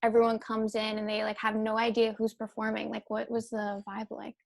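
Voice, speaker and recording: A woman's voice: fairly high pitch, completely flat delivery, vocal fry, darkish tone. Eager young woman interviewer. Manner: eager, affirming, quick back-channel replies. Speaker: radio hip-hop director, interviewer. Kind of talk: casual interview.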